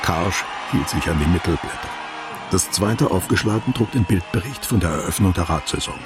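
A man narrating a literary radio play as a sober, observant storyteller with a detached, deliberate delivery. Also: sits low, lively intonation, over music.

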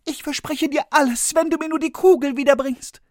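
A man voicing a spoiled young princess in a fairy tale, in a high falsetto. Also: steady tone, a little fast, a little mellow, no echo. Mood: pleading.

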